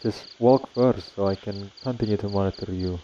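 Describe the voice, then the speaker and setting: A man's voice: fairly low pitch, normal speed, dark tone, a little hiss or hum, lightly acted. A young-sounding man with an Indonesian accent playing a polite college student in an amateur radio drama, speaking careful English. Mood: uneasy. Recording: dull, lo-fi track with music.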